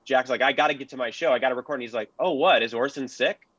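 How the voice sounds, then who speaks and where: A man on a casual panel, retelling things in an animated, colloquial way. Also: medium pitch, quick pace, dry recording, muffled recording.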